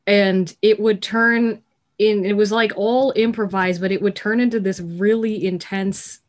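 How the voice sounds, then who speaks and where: A young woman on a video call, casually telling a story in an animated, halting way with lots of "like". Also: mid-range voice. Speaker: actor in a community theatre production. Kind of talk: casual reflective anecdote. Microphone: video call computer mic.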